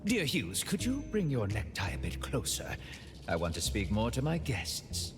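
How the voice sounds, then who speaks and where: An actor playing a flamboyant, doting rich gentleman in a video game, speaking in a theatrical, grandly sentimental way. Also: on the low side, wildly swooping pitch, normal speed. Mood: playful, grand. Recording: long hall reverb, music behind.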